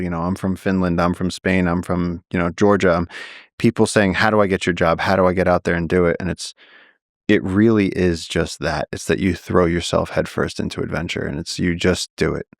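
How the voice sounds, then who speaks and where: Earnest male guest, low voice, animated storytelling, dry close mic. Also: dry recording. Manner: earnest, animated, many restarts. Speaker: guest, naturalist and explorer. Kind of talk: conversational storytelling.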